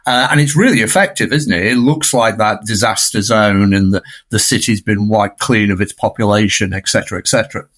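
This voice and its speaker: A man's voice: fairly low pitch, a little fast, hardly any pauses, fairly bright tone. A man speaking as a film scholar in an unscripted podcast discussion, discursive and fond of tag questions.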